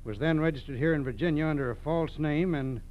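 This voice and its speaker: An actor playing a businesslike, courteous FBI agent in a 1940s radio drama, speaking in clipped phrases. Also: male voice, fairly high pitch, a little fast, natural voice. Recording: dull, hissy 1940s radio recording.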